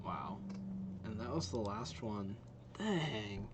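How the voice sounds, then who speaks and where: A man's voice: on the low side, expressive, slow, very noisy. A young man giving casual, rambling reaction commentary as the host of a video.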